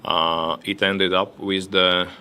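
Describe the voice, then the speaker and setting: A man on a discussion panel giving his remarks, halting and often repeating words. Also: low voice, level tone, sharp tone, slight background noise. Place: conference hall.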